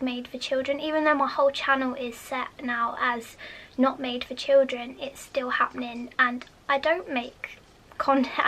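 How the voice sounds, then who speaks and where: A woman with an English accent and a soft, high-pitched, childlike voice, chatting casually in a vlog and often dropping to a whisper. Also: a little hiss or hum. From United Kingdom. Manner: chatty, rambling with fillers. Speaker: vlogger.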